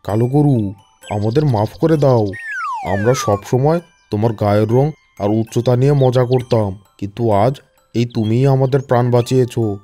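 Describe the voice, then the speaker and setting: A man's voice: mid-range voice, normal speed, warm tone, soft background music, close to an everyday voice. A man voicing a remorseful cow from a herd in a cartoon fable. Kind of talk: scripted cartoon story dialogue.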